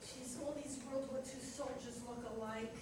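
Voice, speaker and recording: Echoey, high-voiced chatty wife played by a woman. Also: fairly even intonation, normal speed, natural voice. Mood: chatty, wry. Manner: talkative, teasing, conversational. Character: chatty, needling older wife at breakfast. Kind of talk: community reader's theater comedy. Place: reader's theater performance in echoey venue. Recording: very echoey, distant, noisy hall recording.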